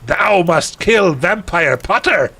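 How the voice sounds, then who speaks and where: A man voicing a cruel dark wizard villain who gives pompous, drawn-out commands in archaic speech. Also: sits high, lively intonation, husky, voice pushed up, full character voice. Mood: commanding.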